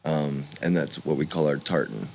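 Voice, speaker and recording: A man in his forties from Scotland, a podcast guest, talking in a halting, hesitant way with restarts. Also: rumbling low, vocal fry, a little mellow, slight background noise. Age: 40s. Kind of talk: casual interview conversation. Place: warm room with fans running.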